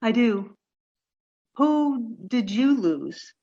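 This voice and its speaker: An actress playing an apologetic, grieving forty-three-year-old artist in a play reading, with a halting, emotional delivery. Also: female voice, a little high, lively intonation, warm tone, lo-fi sound, exaggerated voice. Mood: wistful grief.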